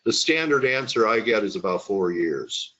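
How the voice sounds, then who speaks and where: A man hosts a trading webinar interview, rambling warmly into his questions with plenty of uhs and you-knows. His voice is fairly low. The audio is dull and muffled, like an online call. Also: lively intonation, moderate pace.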